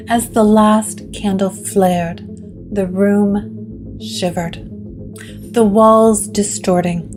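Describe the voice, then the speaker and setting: Slow, measured woman narrating gothic horror. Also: medium pitch. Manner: measured, dramatic pauses.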